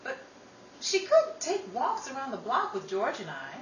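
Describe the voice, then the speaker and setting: A woman playing an outspoken, quick-tempered young working sister in an old-time radio style drama, brisk and dramatic. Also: fairly high pitch, huge pitch swings. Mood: wry, chatty. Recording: roomy, distant mic, noisy.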